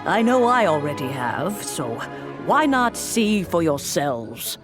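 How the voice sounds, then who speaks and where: Lively, low-voiced motherly woman. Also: slow. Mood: placating. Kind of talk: English video game voice acting. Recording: music playing behind the voice.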